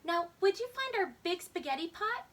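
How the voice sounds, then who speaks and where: A woman voicing a patient mother alligator in a children's storybook, gently giving reminders and instructions. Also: very high voice, sing-song, normal speed, distant mic, pitched above the performer's own voice, full character voice. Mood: encouraging.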